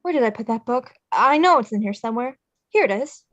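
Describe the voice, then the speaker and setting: A woman's voice: a little high, lively intonation, brisk, fairly bright tone, lo-fi sound, a little hiss or hum. A woman playing Jack, a theatrical skeleton man who fancies himself Santa, declaiming melodramatically at a script table read. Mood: excited, triumphant.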